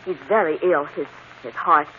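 An actress playing a frightened, fretful young woman in an old-time radio drama, halting and melodramatic. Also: sits low, close to an everyday voice. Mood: troubled, hesitant. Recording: tinny, noisy old radio broadcast.

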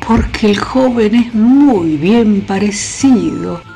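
Slow-speaking, regal old queen. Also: mid-range voice, warm tone, soft background music, poor audio. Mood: wistful, mischievous. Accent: Argentine. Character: imperious, nostalgic, bawdy old queen mother of medieval England. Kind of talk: radio drama acting.

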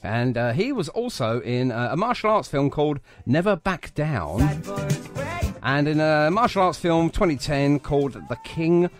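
A man's voice: fairly high pitch, lively intonation, normal speed. A British man hosting a live radio show with casual, chatty, jokey talk. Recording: music playing behind the voice.

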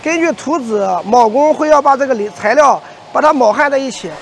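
A man's voice: extremely high pitch, fast, noisy background. A middle-aged man interviewed on a factory floor, explaining production in a hesitant way with many fillers. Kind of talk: on-site interview. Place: large factory hall, indoors.

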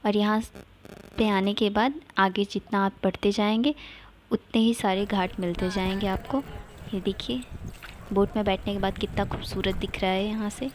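A woman's voice: medium pitch, steady tone, moderate pace, a little hiss or hum, low-quality recording. A young woman from India narrating a casual travel vlog, cheerful and chatty, pointing things out to her viewers. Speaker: vlogger.

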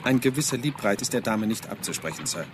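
Actor as stiff, deadpan police assistant. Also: male voice, on the low side, quick pace, lightly acted. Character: deferential, literal-minded, deadpan police assistant. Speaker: actor in a radio play. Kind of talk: German radio drama acting. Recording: clear vehicle noise behind. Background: moving-vehicle sounds.

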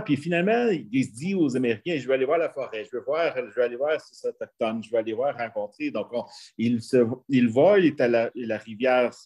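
A man with a Franco-Albertan French accent speaks over a video call. He talks at a middling pitch as an anthropology professor. He rambles and restarts often as he recounts history. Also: darkish tone, slightly rough. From northern Alberta, Canada. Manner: rambling, many restarts and fillers, storytelling. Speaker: anthropology professor, panel guest. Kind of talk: video call panel discussion.

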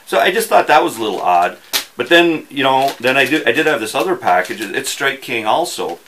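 A middle-aged man talking casually to the camera as host of an outdoors channel, with a folksy, wry, halting delivery. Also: medium pitch, big pitch swings, fairly bright tone, a little hiss or hum. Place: small indoor workshop.

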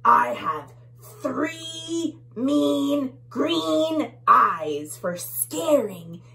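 A woman voicing a menacing bug monster who growls and speaks slowly and loudly. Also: sits high, animated, gravelly, pitched above the performer's own voice, heavily acted. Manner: growly, slow and loud.

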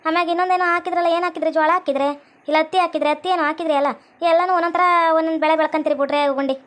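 A woman's voice: very high voice, steady tone, racing. A woman playing chatty, bickering village farm labourers in a cartoon skit, garrulous and colloquial, with a North Karnataka accent. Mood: chatty, boastful.

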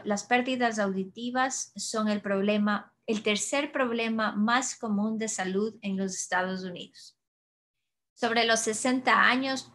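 A woman in her thirties, an audiologist, answering audience questions in a webinar over a video call, calm and explanatory with frequent restarts. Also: medium pitch. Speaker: audiologist presenter. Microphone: webcam video call.

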